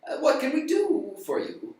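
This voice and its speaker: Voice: high-pitched, very animated, normal speed, darkish tone, voice pushed up. A storyteller voicing a grieving old father who stammers. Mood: polite. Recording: roomy, distant mic.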